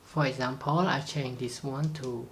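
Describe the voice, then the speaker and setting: A presenter giving a step-by-step product demonstration in simple, halting sentences with non-native grammar. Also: mid-range voice, fairly even intonation, slight background noise. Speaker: tutorial presenter.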